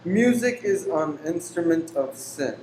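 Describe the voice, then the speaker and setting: An actor in a radio play playing a weary, pious, secretive lodger who is formal, exacting and easily angered. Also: male voice, a little high, animated, unhurried, darkish tone. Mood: sharp, stern. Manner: formal, exacting, abrupt then apologetic. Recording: roomy echo with faint background noise.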